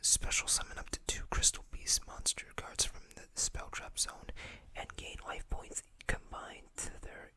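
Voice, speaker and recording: An ASMR host who speaks entirely in a whisper, with a bright, crisp edge to the breath. The delivery is hushed and deliberate, moving between reading game card text and casual commentary.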